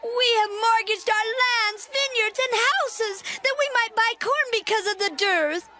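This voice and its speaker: A woman voicing a plaintive, very high townswoman. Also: measured pace, bright, forceful tone, exaggerated voice. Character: distressed woman who mortgaged her land. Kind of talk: dramatized scripture reading.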